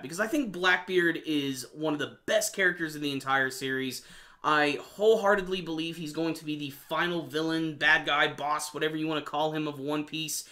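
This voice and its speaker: A man's voice: on the high side, pushed and bright. A man giving an enthusiastic video review of an anime episode in casual, slangy fan talk.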